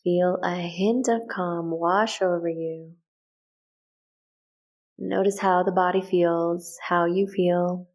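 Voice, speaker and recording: A woman leading a guided meditation in a calm, gentle, unhurried way with short measured phrases. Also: fairly low pitch. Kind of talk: guided meditation, soft instructional narration.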